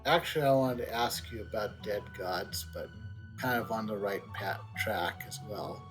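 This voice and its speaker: A man's voice: fairly low pitch, moderate pace, over music. A man streaming a video game and making casual, offhand comments over the cutscenes, sometimes stumbling over his words.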